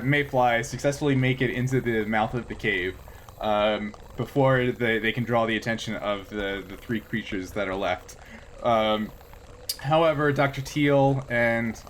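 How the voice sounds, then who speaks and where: A man's voice: mid-range voice, fairly bright tone. A man speaking casually and jokily as game master of a tabletop roleplaying game. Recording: clearly noisy background.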